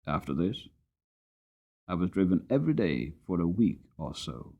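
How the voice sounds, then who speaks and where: A man giving a dramatic storybook narration as an audiobook reader, expressive and deliberate. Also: low-pitched, narrow, tinny audio.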